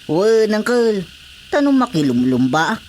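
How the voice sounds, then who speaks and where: A woman's voice: mid-range voice, big pitch swings, measured pace, darkish tone. A woman acting a cocky, cheerful young relative in an Ilocano radio drama comedy. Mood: boastful. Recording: faint background noise.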